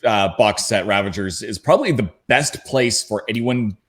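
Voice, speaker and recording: A man's voice: on the low side, normal speed. A man speaking as a podcast panelist, casually recommending where to start.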